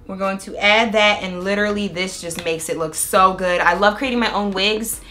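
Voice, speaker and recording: A young woman vlogging a hair tutorial in a chatty, excited way, walking through each step, her voice a little raspy from a cold. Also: medium pitch, normal speed, a little hiss or hum. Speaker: beauty vlogger. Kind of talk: casual hair tutorial and review.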